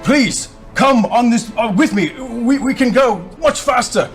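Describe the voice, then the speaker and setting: A man's voice: very high-pitched, a little slow, faint music behind. A man playing a tabletop role-playing game, moving between table chat and in-character speech.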